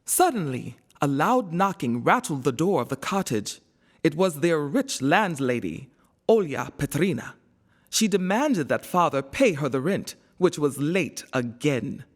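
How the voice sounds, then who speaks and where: Expressive, low-voiced woman reading a storybook. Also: measured pace. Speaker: storybook narrator. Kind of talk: scripted children's storybook narration.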